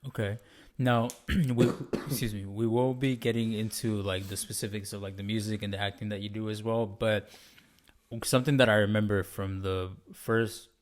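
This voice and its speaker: Polite podcast host with a low voice. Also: male voice, steady tone. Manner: polite and measured, with structured questions. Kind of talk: podcast interview hosting.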